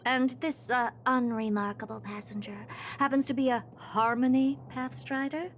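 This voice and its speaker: A woman's voice: on the high side, fairly even intonation, moderate pace, slight background noise, close to an everyday voice. An actress playing a haughty, impatient genius woman scientist in a video game, speaking in an imperious, theatrical and dismissive way. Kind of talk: scripted video game voice acting.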